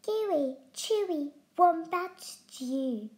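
Sly grinning dingo cook, boastful and gleeful, storybook read-aloud, a woman voicing him, slow and a little high. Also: lively intonation. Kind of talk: storybook reading.

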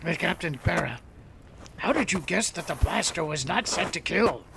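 A man's voice: on the high side, expressive, moderate pace, vocal fry, background noise. An actor playing a questioning, deferential alien henchman in a pulp sci-fi audio drama. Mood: doubtful. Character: questioning, deferential male alien gang underling.

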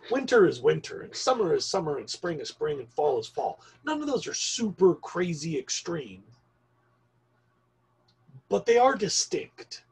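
A middle-aged man from Argentina teaching an online class over a webcam, explaining slowly and stopping often for ums. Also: fairly high pitch, vocal fry, slightly rough, poor audio. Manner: slow, rambling explanation with frequent ums. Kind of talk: recorded online class lecture.

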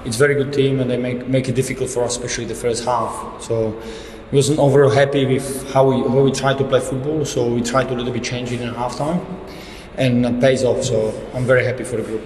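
A man's voice: mid-range voice, little pitch movement, fast, darkish tone, a little hiss or hum. A man in his thirties, a football head coach, answering reporters at a post-match press conference in fairly plain with frequent restarts, into a table microphone. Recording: echoey room with reverb.

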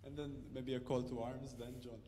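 A man's voice: mid-range voice, level tone, normal speed, mellow, a little hiss or hum. Man moderating in an echoing hall. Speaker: panel moderator. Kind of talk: informal spoken questioning at academic panel. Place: large hall with seated audience. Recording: very reverberant hall.

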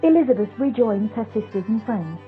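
A woman's voice: a little high, expressive, a little fast, faint music behind. A woman narrating a play from a script over a video call. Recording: dull, muffled video call audio.

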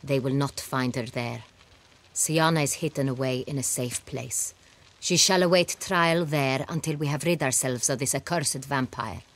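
An actress playing an imperious, impatient duchess in a fantasy video game, speaking in a haughty, formal, cutting way. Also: female voice, extremely low pitch, normal speed. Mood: cold, resolute. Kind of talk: dramatic video game acting.

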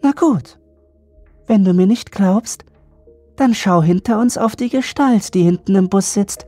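Woman as an eerie, soft husky little boy. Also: mid-range voice, a little slow, no room sound, soft background music, lightly acted. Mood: sulky, ominous. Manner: speaks very softly. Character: eerie, sulky, grown-up-sounding albino little boy on a night bus.

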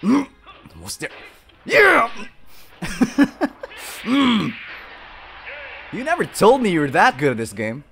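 Lively young college jock, acted by a man. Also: high voice, slow, vocal fry, long pauses, a little hiss or hum, exaggerated voice. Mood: excited, teasing. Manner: informal slangy bro talk with hesitations. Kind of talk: roleplay audio acting, casual chat to the listener.

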